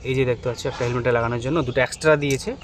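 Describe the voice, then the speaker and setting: Male vlogger for a hands-on install tutorial: very quick, informal, unscripted delivery, mid pitch, noticeable background noise. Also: steady tone. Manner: informal, improvised, talking while working with hands. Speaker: motorcycle vlogger presenting an unboxing and installation. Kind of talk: casual hands-on tutorial chat to viewers. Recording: clear background ambient noise.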